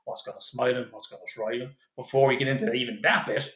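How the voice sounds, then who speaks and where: A man's voice: mid-range voice, steady tone, fast talker, fairly bright tone. Man, podcast host, casual teasing banter, mid-range voice, roomy echo. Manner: swearing, laughing, teasing banter.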